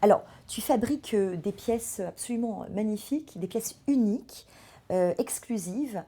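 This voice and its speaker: A woman's voice: medium pitch, measured pace, dark tone, breathy edge. A woman hosting an interview, asking friendly questions with frequent hesitations. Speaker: host.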